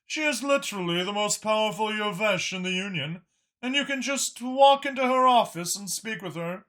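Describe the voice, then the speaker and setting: A man voicing a powerful, patient dragon senator who explains things in a measured, self-assured way. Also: high-pitched, animated, sharp tone, voice pushed up. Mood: pointed.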